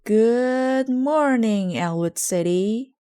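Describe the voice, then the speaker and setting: A woman reading a children's storybook, voicing an eager, bossy boy showman who calls out in a loud, stretched-out hawker's delivery. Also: fairly high pitch, lively intonation, drawn-out words, clean tone, pitched above the performer's own voice. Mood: loud hawking. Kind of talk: children's storybook read aloud.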